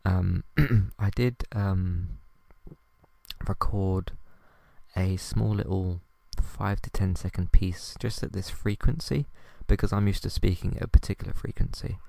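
A young man hosting a podcast, talking softly and hesitantly with many ums as he tries out ASMR for the first time. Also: sits low, unhurried, gravelly, no echo. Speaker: podcast host. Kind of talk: first attempt at ASMR, quiet podcast chat.

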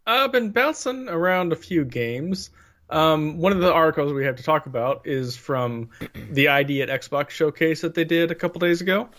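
A man's voice: a little high, lively intonation, moderate pace, fairly bright tone. A man co-hosting a casual podcast chat, talking in an emphatic, exasperated way. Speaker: podcast co-host.

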